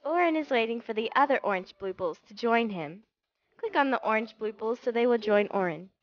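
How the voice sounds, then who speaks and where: A woman's voice: a little high, animated, brisk, muffled recording, a little hiss or hum. A woman reading scripted instructions as the narrator of a learning game for young children.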